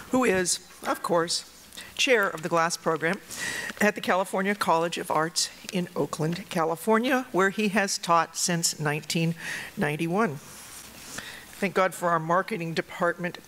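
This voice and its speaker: Woman host introducing a speaker, with a bright, forceful voice and dry, teasing humor, in a room with a faint background hum. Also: fairly low pitch, unhurried. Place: conference lecture hall.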